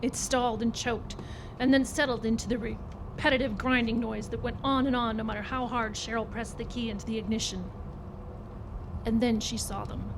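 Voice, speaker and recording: A woman reading a horror story aloud as a dramatic narrator, recorded live in a performance club. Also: on the high side. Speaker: story reader. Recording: live club recording, clear background noise.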